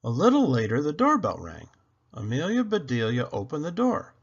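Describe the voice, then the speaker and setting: A man reading a children's picture book aloud as a storyteller, with expressive, dramatic emphasis. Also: mid-range voice, moderate pace, vocal fry, husky, dull audio. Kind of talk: animated read-aloud narration.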